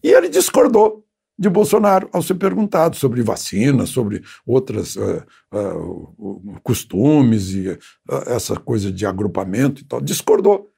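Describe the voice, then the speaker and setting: An older man with a gravelly, slightly husky, mellow voice comments from a studio chair. He talks loosely and conversationally, pausing on fillers and tag questions. The sound is close and clean from a lapel mic. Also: medium pitch, sing-song. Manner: seated, gesturing with both hands. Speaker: political commentator. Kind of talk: unscripted spoken commentary. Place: small studio with graphic backdrop.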